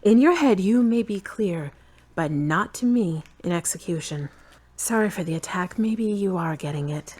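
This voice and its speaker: Woman acting a frank, lower-voiced uneasy girlfriend. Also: expressive, moderate pace, darkish tone. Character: Charity, tired frank woman, fond but uneasy girlfriend. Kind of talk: audio drama dialogue.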